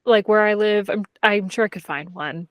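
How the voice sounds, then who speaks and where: Podcast co-host, female. Medium pitch, chatty and frank, conversational filler, very dry recording. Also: moderate pace, dry recording, dull audio. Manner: informal, candid, heavy use of "like". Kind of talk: casual book chat between friends.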